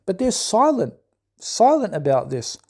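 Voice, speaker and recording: A man's voice: high voice, sing-song, measured pace, a little mellow. A man in an impassioned, scolding monologue who keeps asking "right?". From Australia. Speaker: commentator.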